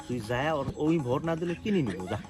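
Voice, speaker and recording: A man's voice: mid-range voice, very animated, fast, music in the background, lightly acted. A man playing a boastful, self-important village politician in a comedy skit, bossy and indignant, with a rural North Bengal accent.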